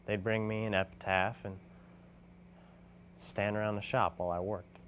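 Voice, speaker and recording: A man's voice: on the low side, little pitch movement, normal speed, fairly bright tone, slight background noise, natural voice. A man performing a dramatic monologue as a reflective, weary gravestone carver confessing his part in things. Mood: reflective, regretful.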